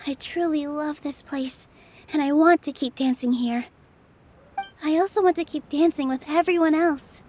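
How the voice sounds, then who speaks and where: A woman voicing a sincere, gentle young dancer in a video game, earnest and polite. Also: sits high, normal speed, a little hiss or hum, lightly acted. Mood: warm, devoted. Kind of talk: dramatic game dialogue.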